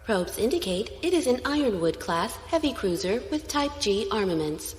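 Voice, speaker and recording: A woman's voice: on the high side, big pitch swings, moderate pace. A woman playing a dutiful squire who gives precise, formal sensor reports in a science fiction audio drama. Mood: clinical, formal. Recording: echoey, long reverb on voice.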